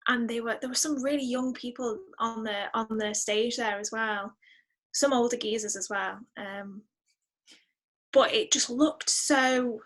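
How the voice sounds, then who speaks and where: A woman speaking as a podcast guest in a casual interview, chatty and expressive, often exclaiming. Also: a little high, unhurried.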